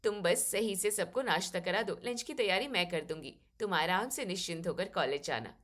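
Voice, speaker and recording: A woman's voice: lowish voice, brisk, fairly bright tone. Woman as a kindly, concerned elder sister-in-law. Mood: caring.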